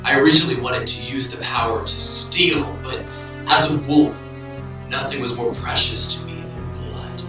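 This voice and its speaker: A man's voice: medium pitch, moderate pace, lightly acted. A man playing a desperate, melodramatic villain professor who was addicted to being a werewolf, in an amateur audio drama. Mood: subdued, remorseful. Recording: echoey, distant hobby recording over music.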